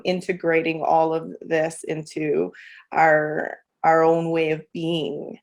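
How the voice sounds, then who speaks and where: A woman's voice: lowish voice, vocal fry. A woman who works as a coach, from El Salvador and raised in Canada, talking casually in a podcast interview with many fillers and restarts. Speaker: guest coach.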